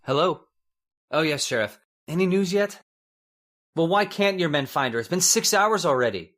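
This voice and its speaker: A woman's voice: low voice, expressive, moderate pace. A woman playing a worried, agitated husband in an old-time radio horror play, urgent and earnest with stammers. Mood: anxious.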